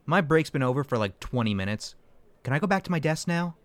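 A man as an aggrieved, animated office worker. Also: a little high, fairly quick, no echo, natural voice. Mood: defensive, suspicious. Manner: gruffly defensive, aggrieved. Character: defensive, suspicious, foul-mouthed call-centre employee.

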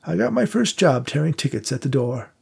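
A courtly old man near a hundred, reminiscing in a thin, high quaver, as a male narrator puts him on. The voice keeps a gravelly, husky grain under the lifted pitch. He sounds gentle and a little frail. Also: very animated, fairly quick, exaggerated voice. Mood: nostalgic. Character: frail genteel old male theater manager, 97.

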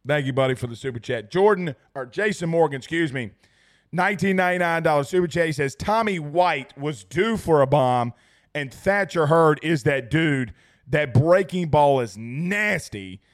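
A young man hosting a post-game sports livestream, hoarse and losing his voice, excitable and rambling as he reads viewer messages. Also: fairly high pitch, normal speed. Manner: excitable, rambling, repeats himself. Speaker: sports talk show host. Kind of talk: excited post-game livestream chat reading super chats.

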